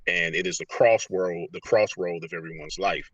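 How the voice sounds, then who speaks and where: A man's voice: deep voice, steady tone, moderate pace, distant mic, highs cut off. A man speaking as a motivational guest on a remote call, explaining conversationally and earnestly, repeating phrases for emphasis. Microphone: earpiece on a remote call.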